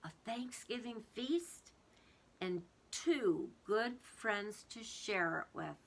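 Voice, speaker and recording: A woman voicing a cheerful, hospitable storybook woman with deliberate, expressive delivery. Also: mid-range voice, gravelly, exaggerated voice. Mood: warm, grateful. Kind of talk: storybook read-aloud.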